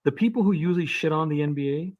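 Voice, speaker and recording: A man's voice: on the high side, fast talker, dry recording, lo-fi sound. A man hosting a call-in live stream, arguing in a casual way and repeating himself, often saying "man". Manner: argumentative, repeats words and says "man" a lot. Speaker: livestream host.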